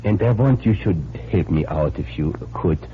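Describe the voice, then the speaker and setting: An actor playing a troubled, courteous immigrant diamond cutter with a continental European accent and hesitant, repetitive phrasing, in 1940s radio style. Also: male voice, deep voice, animated, normal speed. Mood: polite, troubled. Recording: dull, noisy 1940s radio recording.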